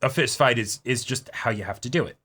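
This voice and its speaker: A man co-hosting a casual reaction discussion, repeating words haltingly as he works out his thoughts. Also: medium pitch, pushed and bright, breathy edge. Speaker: co-host of anime reaction show.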